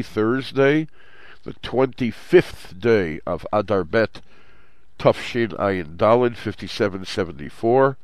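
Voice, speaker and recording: A man's voice: mid-range voice, no room sound. Nasal, stuffed-up man hosting a Torah show. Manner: steady, explanatory reading with Hebrew terms. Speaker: host. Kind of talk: Torah study show with scripture reading. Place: Jerusalem.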